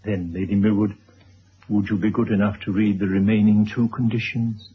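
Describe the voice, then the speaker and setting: An actor playing a calm, calculating older gentleman in a radio drama, speaking in a dry, measured, formal way. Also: on the low side, natural voice. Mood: calmly insistent. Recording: dull, hissy old radio recording.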